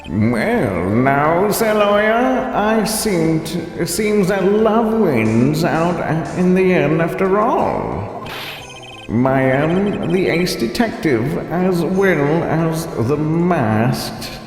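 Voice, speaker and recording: A man's voice: a little high, very animated, extremely slow, very echoey, in a large hall, soft background music, exaggerated voice. A man voicing a smug, theatrical ace detective from a video game, pompous and drawn out in delivery. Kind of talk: video game voice-over, read live.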